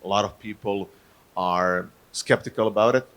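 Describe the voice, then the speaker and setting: A man from Croatia, not an English speaker, introducing himself on a conference panel in a halting way with many fillers. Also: on the low side, a bit bright. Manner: halting, many fillers, non-native phrasing. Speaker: panelist, fintech marketer. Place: conference stage.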